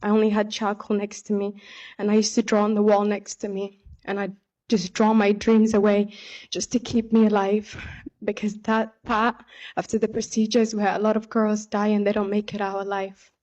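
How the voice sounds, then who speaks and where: A young woman from Somalia giving an earnest, measured speech as a pageant contestant from a podium microphone in a large hall. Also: medium pitch, monotone, darkish tone, lo-fi sound. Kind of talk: prepared stage speech. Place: large indoor hall on stage. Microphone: podium microphone on stand.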